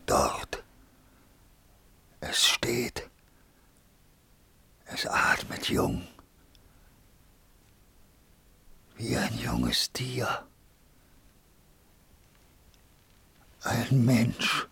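Old blind man, extremely raspy, drawn-out halting delivery, frightened, poetic radio play, dry close recording. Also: mid-range voice, sing-song, bright, forceful tone, dry recording, full character voice. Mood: fearful wonder. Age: older. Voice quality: husky, gravelly, breathy whispering. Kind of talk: poetic radio drama acting.

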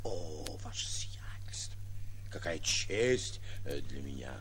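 An actor playing a boastful, naively awestruck provincial lion hunter in a 1940s radio play, theatrical and flustered. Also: male voice, very deep voice, big pitch swings, slow, fairly bright tone, in a whisper, heavily acted. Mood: awed, deferential. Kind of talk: 1940s stage-style radio acting. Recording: muffled vintage 1940s radio recording.

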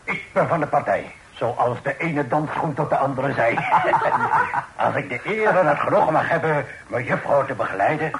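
An actor playing a boastful, gallant sea captain in an old radio play. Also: male voice, medium pitch, normal speed, natural voice. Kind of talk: radio drama acting. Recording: old radio play with fairground crowd noise.

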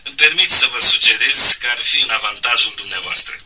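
An actor playing a polite, persuasive private secretary telephoning in a radio drama. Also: male voice, medium pitch, animated, recorded from a distance, a loud crowd, poor audio. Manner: polite, formal, suave. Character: polished, persuasive male private secretary to a magnate.

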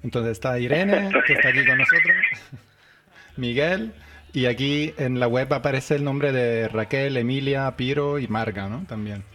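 A man's voice: mid-range voice, measured pace, music in the background. A man with an accent from Spain chatting casually as a co-host in a radio interview.

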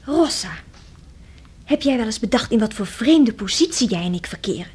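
A woman's voice: a little high, expressive, normal speed, a little mellow, breathy edge. A woman playing a cheeky, restless young woman in a radio drama, with a teasing, theatrical manner. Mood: flippant.

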